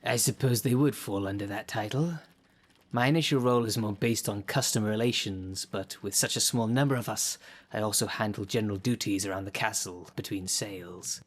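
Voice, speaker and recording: A man acts out a haughty demon caretaker in an audio drama, husky and on the low side. He speaks formally and grandly to a visitor, with the dry sarcasm of a salesman of monsters. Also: fairly quick, lightly acted. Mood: dry, businesslike. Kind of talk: scripted audio roleplay, addressing the listener.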